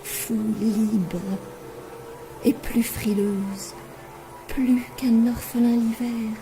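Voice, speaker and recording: A woman reciting poetry expressively in a breathy voice that often drops near a whisper, with deliberate dramatic phrasing. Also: mid-range voice, warm tone, slight background noise, low-quality recording. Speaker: poetry reader. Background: music.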